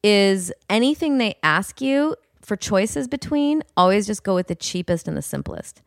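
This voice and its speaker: A woman's voice: mid-range voice, animated, moderate pace, vocal fry, no echo, clean studio sound. A woman co-hosting a comedy podcast, talking in a casual, informal, conversational way. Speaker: comedy advice podcast co-host. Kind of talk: casual bantering chat.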